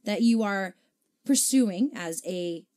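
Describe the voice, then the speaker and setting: A woman hosting a chatty radio interview in a studio, enthusiastic and quick to react with admiration. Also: mid-range voice, mellow. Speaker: radio host interviewing a musician.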